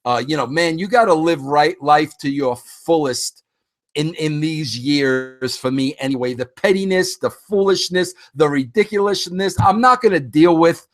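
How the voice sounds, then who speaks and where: Animated fifty-something man, high voice. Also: moderate pace. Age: 50s. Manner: rambling, animated, frequent restarts and fillers; addresses listeners directly. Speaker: podcast host. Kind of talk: casual solo monologue.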